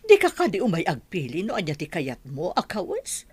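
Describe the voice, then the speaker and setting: A woman's voice: fairly low pitch, huge pitch swings, normal speed, darkish tone, husky, no echo, heavily acted. A woman with an Ilocano Filipino accent playing a bossy middle-aged mother in a radio drama, emphatic and insistent.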